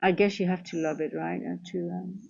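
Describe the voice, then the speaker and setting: A woman's voice: fairly low pitch, fairly even intonation, a little fast, creaky, darkish tone, husky, dull audio. A tired woman from the Netherlands with a Dutch accent, chatting casually through a live trading stream and answering viewers.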